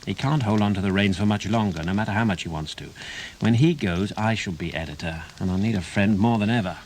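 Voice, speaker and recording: A man's voice: lowish voice, brisk, darkish tone, a little hiss or hum, lightly acted. An actor playing an insistent newspaperman in a radio play, firm and pressing. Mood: persuasive. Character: persuasive, insistent English newspaper heir and friend.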